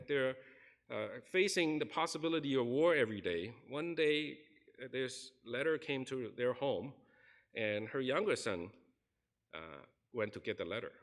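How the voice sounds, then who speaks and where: A man preaching a sermon in a church, earnestly telling a story and acting out the people in it. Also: medium pitch. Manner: earnest, recounts stories and acts out quoted people. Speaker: pastor. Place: church sanctuary.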